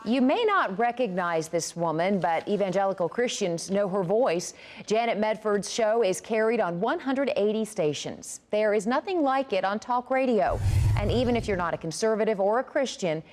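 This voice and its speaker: A woman's voice: mid-range voice, a little mellow. An American woman news anchor presenting a scripted introduction on television.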